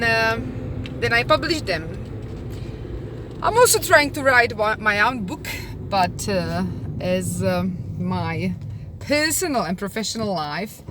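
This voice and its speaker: A woman in her thirties with a Czech accent, talking casually about her work as a tour guide, unhurried and thoughtful with many pauses and fillers. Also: a little high. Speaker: tour guide and language teacher. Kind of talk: casual talk to camera. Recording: distant mic, loud traffic noise outdoors.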